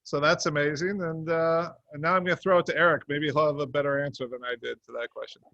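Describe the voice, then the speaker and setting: A man's voice: on the high side, fairly even intonation, fast, pushed and bright, dry recording, dull audio. A man answering interview questions casually as a show's co-creator, rambling and hesitant, with self-deprecating asides. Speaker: TV series co-creator, interview guest.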